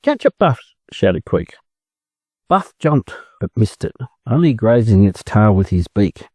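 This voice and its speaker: A man's voice: lowish voice, lively intonation, a little slow, dark tone, husky, no echo. A man with an Australian accent reading a children's story aloud as a scripted narration for English learners.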